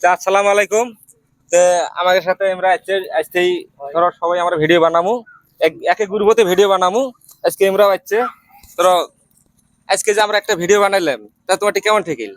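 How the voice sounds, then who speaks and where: Man, high pitch, fast pace, casual co-host. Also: fairly even intonation, a bit bright, no room sound, rough recording. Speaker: co-host of a video group. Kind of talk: casual chat.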